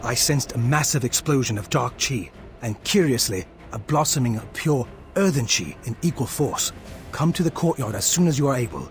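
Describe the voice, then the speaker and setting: Man acting a courteous, expressive warrior monk. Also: mid-range voice, moderate pace, natural voice. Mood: concerned, urgent. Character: courteous, caring male warrior monk of fantasy realm. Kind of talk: scripted game dialogue. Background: game music.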